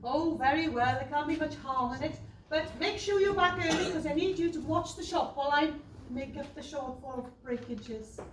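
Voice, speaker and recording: Woman playing a very high-voiced fussy pantomime mother. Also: moderate pace, close to an everyday voice. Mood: fretting. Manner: exclaiming, theatrical. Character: fussy pantomime mother, put-upon pottery shopkeeper. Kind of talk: stage pantomime acting. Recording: echoey stage, faint noise, far off.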